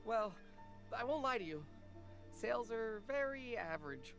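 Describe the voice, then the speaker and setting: A woman's voice: fairly high pitch, lively intonation, unhurried, soft background music. A woman playing a humble female snack vendor in a video game, earnest, deferential and easily flustered. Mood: sheepish.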